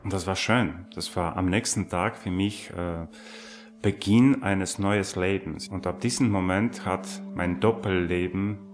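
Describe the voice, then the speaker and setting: A man's voice: low voice, fairly even intonation, a little slow. A man recalling his personal life in a documentary interview.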